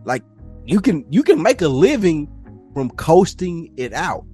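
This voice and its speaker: A man's voice: on the high side, big pitch swings, a little slow, mellow, husky, faint music behind. A man hosting a casual podcast, talking in an emphatic, slangy way and acting out quoted thoughts.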